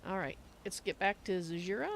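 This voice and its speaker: A woman's voice: mid-range voice, fairly quick, slight background noise. A woman hosting a casual livestream, chatting in a rambling, self-correcting way. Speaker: streamer, host.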